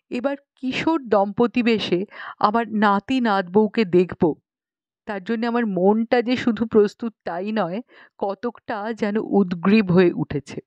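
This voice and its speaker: A woman's voice: a little high, a little mellow, dry recording, dull audio. A woman reading a literary story as an audiobook narrator, in a scripted narration style.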